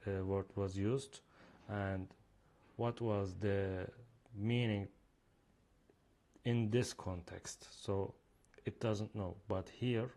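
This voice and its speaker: A man's voice: fairly low pitch, fairly even intonation, extremely slow, dark tone. A man with a Turkish accent, a native Turkish speaker, walking viewers through a reading app in a screen-recorded demo, speaking hesitantly with many fillers. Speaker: app creator demonstrating his reading app.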